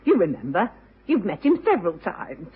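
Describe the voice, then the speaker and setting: Very animated, homely British housekeeper. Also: female voice, lowish voice, moderate pace. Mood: apologetic. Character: deferential, homely female London housekeeper. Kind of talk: radio drama acting. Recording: noisy, phone-like old radio recording.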